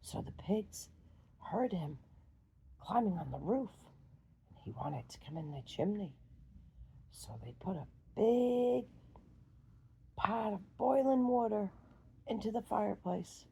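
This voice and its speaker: A woman storyteller improvising a bedtime fairy tale in simple, halting, sing-song phrases. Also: mid-range voice, expressive, gravelly, dark tone, husky.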